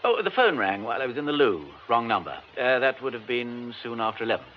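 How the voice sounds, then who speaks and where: A man's voice: medium pitch, expressive, fast talker, natural voice. An actor playing a jaunty, self-mocking British theatre man in a radio drama, wordy, hesitant and wry. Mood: affable. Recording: muffled radio broadcast, faint noise.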